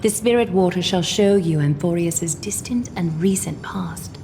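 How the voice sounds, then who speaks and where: A woman playing a gracious, composed noblewoman in a video game, speaking formally and with soft command. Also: on the low side, vocal fry, a little hiss or hum. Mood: soothing. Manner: formal, measured, softly commanding. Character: poised, courteous noblewoman leader, gracious and composed. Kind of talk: scripted game character dialogue. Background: game music.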